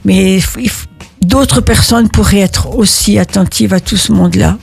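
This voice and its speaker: Older woman from Italy, gravelly and husky, halting interview answers with many restarts. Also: mid-range voice, a little mellow, soft background music. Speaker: interviewee, neighborhood volunteer.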